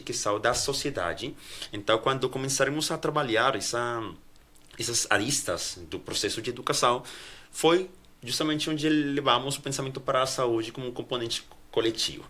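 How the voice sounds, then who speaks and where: A Colombian man whose first language is Spanish, answering as a guest professor with a Colombian accent, halting, with many restarts. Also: mid-range voice, bright, forceful tone. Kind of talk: academic interview answers.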